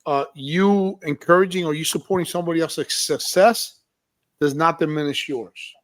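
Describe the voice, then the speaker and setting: A man's voice: on the high side, moderate pace. A man hosting a casual interview show, emphatic, repeating his points and checking in with listeners. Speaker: show host.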